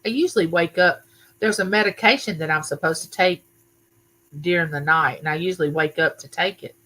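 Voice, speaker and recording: An older woman, hosting a casual live chat from her home, talking loudly and chattily and greeting viewers by name. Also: on the low side, brisk, rough recording. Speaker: livestream host. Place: home room used for filming.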